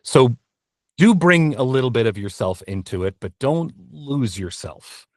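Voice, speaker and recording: A man's voice: medium pitch, expressive, measured pace, dry recording, studio quality. A man talking casually and earnestly as an interview guest and voice actor, often interrupting himself as he goes.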